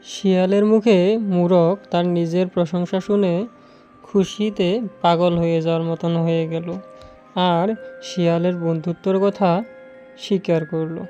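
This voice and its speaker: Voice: medium pitch, fairly even intonation, measured pace, dark tone. A narrator telling a scripted children's story as a storyteller. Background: background music.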